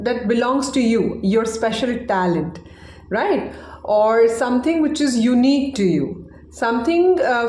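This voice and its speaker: Casual, chatty woman English teacher, first language Hindi, fairly high voice, recorded in an echoey room. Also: a little mellow. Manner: chatty, checks in with "right?". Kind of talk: casual online lesson.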